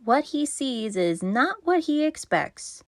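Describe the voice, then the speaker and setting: A woman reads children's storybooks aloud with expression. Her pace is unhurried and slow, and the recording is close and dry with no room sound. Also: sits high, sing-song, no echo. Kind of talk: expressive scripted read-aloud narration.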